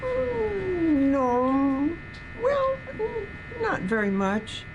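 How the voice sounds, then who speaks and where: A woman's voice: very high-pitched, sing-song, extremely slow, dull audio, a little hiss or hum, pitched above the performer's own voice, exaggerated voice. A woman voicing a mischievous, evasive young boy with folksy country speech in a storybook. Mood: uneasy, evasive.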